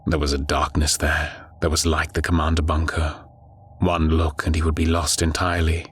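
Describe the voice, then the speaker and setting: A man narrating a scripted horror audiobook in a grave, measured storytelling manner. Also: extremely low pitch, completely flat delivery, slightly rough.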